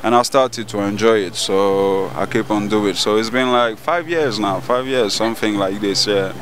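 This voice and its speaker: A man in his thirties from Ivory Coast with a French-speaking West African accent, answering interview questions casually on a rooftop, searching for words with many pauses and repeats. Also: fairly low pitch, a bit bright. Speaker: DJ and event organizer. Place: outdoor rooftop terrace above a busy street. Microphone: handheld interview mic held by the interviewer. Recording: faint traffic noise outdoors.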